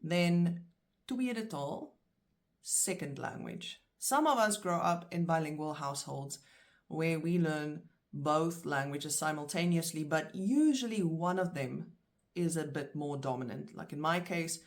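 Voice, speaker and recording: Slow, low-voiced South African woman teaching. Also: expressive. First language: Afrikaans. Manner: slow, clear word-by-word pronunciation. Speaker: language teacher. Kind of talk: vocabulary lesson.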